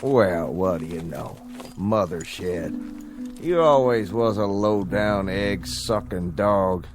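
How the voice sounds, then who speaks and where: A low-voiced, menacing gunslinger. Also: male voice, slow pace. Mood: cool, taunting. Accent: American Western drawl. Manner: taunting, cool, laconic. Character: menacing, mocking male gunslinger outlaw. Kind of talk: old Western drama acting. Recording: vintage TV drama audio under music.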